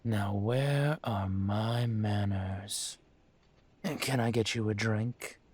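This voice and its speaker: A man playing an aging dragon mafia boss in a roleplay audio drama, speaking in a smooth, deliberate, courtly manner with veiled menace. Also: on the low side, steady tone, a little mellow, slight background noise. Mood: suave, courteous. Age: older.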